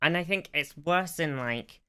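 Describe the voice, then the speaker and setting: Very clear, bright-toned man. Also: a little high, measured pace. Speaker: podcast co-host. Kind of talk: casual fan discussion.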